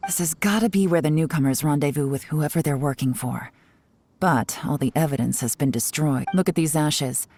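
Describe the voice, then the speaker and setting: A woman voicing a shrewd, composed investigator in an anime game, deliberate and self-assured. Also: low voice, darkish tone, no echo. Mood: analytical. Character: cool, shrewd woman investigator leading a covert inquiry. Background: game music.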